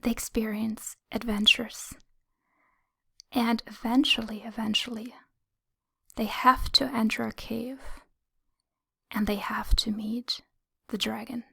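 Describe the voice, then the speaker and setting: A woman guides a meditation in a soft, whispery voice that is breathy and fairly high for a woman. She speaks very slowly in short phrases, leaving long pauses between them. Her delivery is gentle and soothing. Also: little pitch movement.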